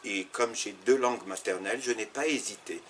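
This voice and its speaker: Deep-voiced man, explaining, noisy background. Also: brisk, a bit bright. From Algeria. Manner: thoughtful, explanatory, with fillers and restarts. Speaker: Arabic teacher interviewed. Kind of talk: interview answers.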